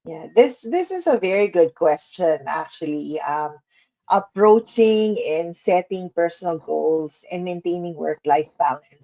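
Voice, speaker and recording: A woman's voice: lowish voice, expressive, a little slow, mellow. Chatty giggly woman from the Philippines, co-host on a lo-fi video call. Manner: giggly, chatty, many fillers. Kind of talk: casual live chat.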